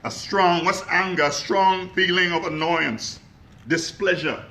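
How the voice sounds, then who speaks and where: A man giving a motivational talk to a live audience, energetic, asking them questions and calling out to them. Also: sits high, measured pace, roomy sound. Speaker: motivational speaker. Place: echoey room with an audience.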